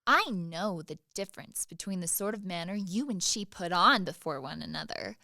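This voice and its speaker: A woman's voice: fairly low pitch, moderate pace, creaky, pushed and bright, no echo, studio quality. A woman acting in a radio play as a proud, sly young maidservant, pert and needling under a show of deference. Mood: defensive.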